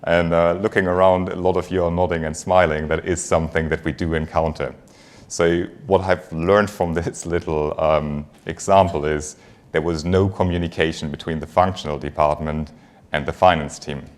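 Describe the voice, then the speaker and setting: A man's voice: low-pitched, level tone, normal speed. A man with a German accent, a native German speaker, giving a conversational conference presentation as a finance executive, engaging the audience with light humour.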